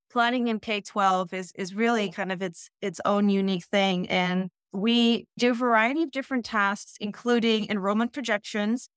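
A woman originally from the Netherlands, in her thirties, explains her work in a measured, steady way. Her voice is smooth and clear. It sounds dry and close, with no room around it. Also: medium pitch, dry recording. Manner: measured, explanatory, occasional restarts. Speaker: school district planning head. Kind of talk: interview answers.

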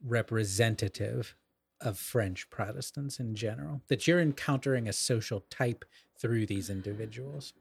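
A man's voice: mid-range voice, normal speed, creaky, slightly rough. A man co-hosting a casual literary discussion, analytical and careful to qualify, using academic vocabulary. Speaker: podcast co-host.

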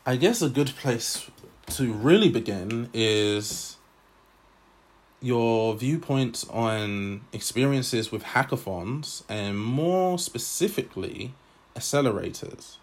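A man hosting a podcast, reading a scripted intro and then asking interview questions in a measured, upbeat way. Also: mid-range voice, lively intonation. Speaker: podcast host.

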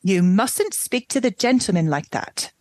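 A woman's voice: medium pitch, animated, measured pace, a little mellow, dry recording, voice pushed down, natural voice. A woman playing a stern, prim middle-aged housekeeper who scolds the girl. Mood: scolding.

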